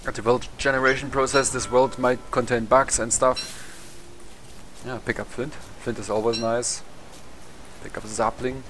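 A man's voice: medium pitch, little pitch movement, measured pace, background noise. A young man with a German accent, a non-native English speaker, casually commentating his gameplay, rambling, swearing and laughing at himself. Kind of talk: casual let's play commentary. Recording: game music behind the voice.

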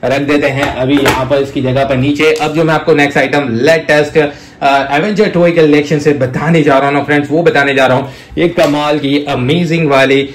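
A man with a slightly high voice presents toys in casual chat. He is effusive, stacking praise words, sprinkling in English and calling his viewers friends. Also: fairly even intonation, moderate pace, a little hiss or hum. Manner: effusive, repeats superlatives and addresses viewers as friends. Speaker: toy review host.

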